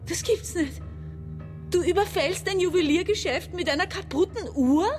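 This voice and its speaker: A woman's voice: very high-pitched, normal speed, music in the background. An actress playing a domineering, exasperated woman around forty in a radio drama, impatient and sardonic. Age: 40s. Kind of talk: radio drama dialogue and monologue.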